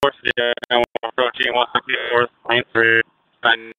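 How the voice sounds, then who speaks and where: A man's voice: medium pitch, monotone, moderate pace, phone-quality sound. A man working as a state patrol officer, giving terse, clipped reports over a police radio. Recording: noisy police scanner radio audio.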